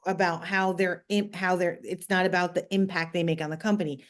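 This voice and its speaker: Lower-voiced woman with a Jersey accent. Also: fairly even intonation, brisk. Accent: New Jersey. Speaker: podcast guest, communications expert. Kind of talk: conversational advice in an interview.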